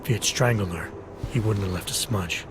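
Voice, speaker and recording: An actor playing a serious, guarded and quietly stubborn investigator in a video game, speaking in terse, deliberate lines. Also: male voice, lowish voice, background noise, natural voice. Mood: firm, explaining. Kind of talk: video game cutscene acting.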